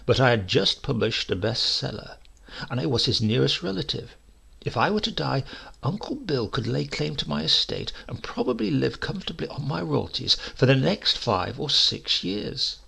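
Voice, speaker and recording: A man with a gravelly, husky voice narrating a humorous short story in a measured, theatrical, scripted style. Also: mid-range voice, big pitch swings, bright, forceful tone.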